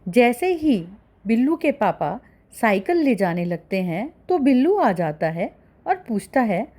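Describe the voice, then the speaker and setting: A woman's voice: fairly high pitch, big pitch swings, unhurried, a little mellow. A woman narrating a story for children as a storyteller.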